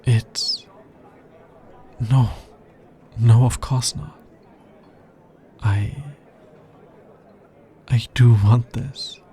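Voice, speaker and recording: A man's voice: medium pitch, fairly even intonation, extremely slow, pauses often, dark tone. A man playing an anxious, smitten alien scientist in an intimate ASMR roleplay, with hesitant, earnest and precisely formal speech.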